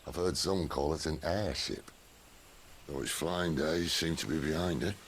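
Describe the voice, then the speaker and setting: An actor playing a worldly, dry-witted outlaw leader, speaking in a wry, unhurried way. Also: male voice, very deep voice, creaky, slight background noise. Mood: calm.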